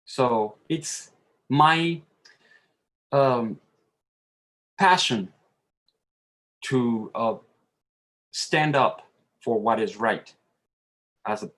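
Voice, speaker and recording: Slow-speaking man. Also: mid-range voice, fairly bright tone. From Dominican Republic. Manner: halting, searching for words. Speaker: panelist. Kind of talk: earnest roundtable statement.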